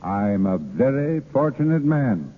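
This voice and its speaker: An actor playing a genial, well-off husband in a 1930s radio drama, breezy, clipped and teasing. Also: male voice, medium pitch, very animated, a little slow, big-room sound. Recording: noisy, hollow, narrow-band old radio broadcast.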